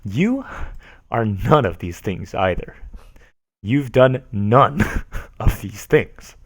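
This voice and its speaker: Streamer, male, lively and mocking, dry close mic. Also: on the low side, slow pace, dry recording. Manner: mocking, animated, laughs often. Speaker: streamer reading forum posts aloud. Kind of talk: casual dramatic reading with commentary.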